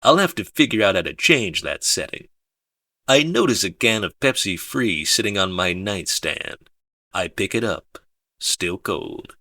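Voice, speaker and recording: A male audiobook narrator with a low voice and a bright, focused edge. He reads first-person fiction slowly and with understatement, in a very dry, close recording. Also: lively intonation, no echo.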